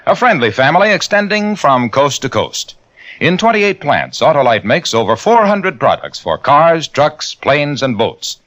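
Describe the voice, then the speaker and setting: Smooth old-time radio announcer man. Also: on the high side, very animated, unhurried, fairly bright tone. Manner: smooth, salesman-like. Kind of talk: sponsor announcement. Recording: dull old radio broadcast.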